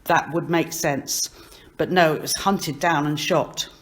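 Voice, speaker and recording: A woman reading a children's story aloud as expressive narration, in an animated storytelling manner. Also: sits low, measured pace.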